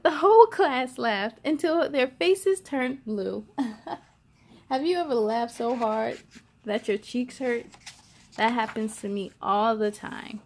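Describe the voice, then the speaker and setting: A woman hosting a children's storytime, reading a picture book aloud in an excited, expressive, giggly way. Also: on the high side, takes it slowly.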